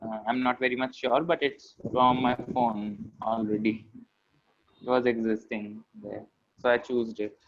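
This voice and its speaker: A man's voice: medium pitch, level tone, unhurried. A young man with an Indian accent, a student speaking politely and casually to classmates in an online class. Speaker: student taking audience questions. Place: indoors on an online video call. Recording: dull lo-fi video-call audio.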